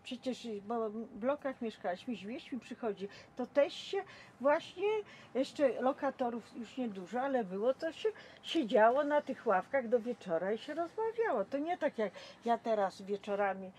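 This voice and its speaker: Very high, lively older woman chatting. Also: normal speed, a little hiss or hum. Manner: chatty, colloquial. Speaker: local resident sharing memories. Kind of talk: casual chat, reminiscence.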